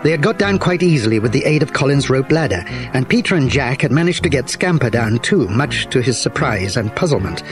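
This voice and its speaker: A man's voice: mid-range voice, big pitch swings, a little fast, few pauses, music in the background. A man narrating a children's mystery story as a scripted audiobook. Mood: storytelling.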